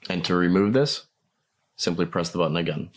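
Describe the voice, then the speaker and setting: Deep-voiced man narrating product instructions. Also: a little fast. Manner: plain step-by-step explaining. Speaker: product demonstrator.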